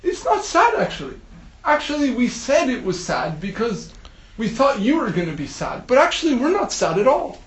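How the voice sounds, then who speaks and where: Man doing a calm, friendly talking fish. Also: very high voice, normal speed, voice pushed up. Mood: reassuring. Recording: echoey room.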